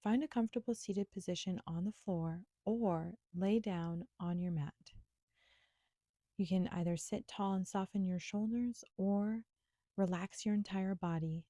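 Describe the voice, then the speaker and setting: A woman instructor leading a guided meditation in a gentle, soothing, unhurried manner with pauses. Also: medium pitch, fairly even intonation, dry recording, studio quality.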